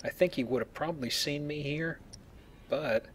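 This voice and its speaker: A man's voice: on the high side, normal speed, a little hiss or hum. A man giving casual, explanatory gameplay commentary as he plays a video game. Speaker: let's player playing and explaining the game.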